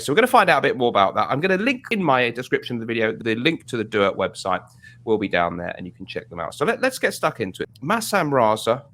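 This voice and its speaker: A man's voice: medium pitch, a bit bright. A man based in the UK hosting a fragrance interview over a video call, upbeat and chatty with frequent restarts. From United Kingdom. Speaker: host.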